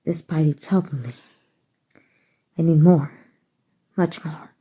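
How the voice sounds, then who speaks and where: A woman voicing a kind, doting, aristocratic female vampire in a soft, gentle ASMR roleplay. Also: deep voice, fairly even intonation, takes it slowly, full character voice. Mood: savoring, hungry. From Mexico. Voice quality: breathy, soft. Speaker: voice actor.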